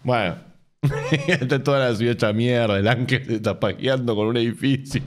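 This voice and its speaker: A man's voice: medium pitch, normal speed. A man in his thirties with an Argentine accent, reading a post aloud on a home stream and breaking off for sarcastic, laughing asides. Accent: Argentine Spanish. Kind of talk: casual live-stream reading with mocking asides. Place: home room with bookshelves.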